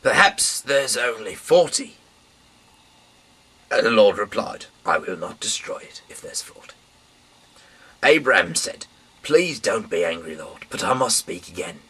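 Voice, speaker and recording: A man reading scripture aloud informally in a halting way, repeating and stumbling over words, with a raspy, breathy voice that often trails off. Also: fairly low pitch, big pitch swings, pushed and bright, low-quality recording. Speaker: amateur Bible reader.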